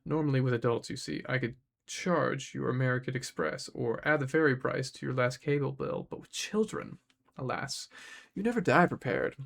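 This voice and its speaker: A man's voice: medium pitch, a bit bright. Man playing a suave, menacing underworld ferryman. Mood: greedy, smooth. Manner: smooth, condescending, drawn-out.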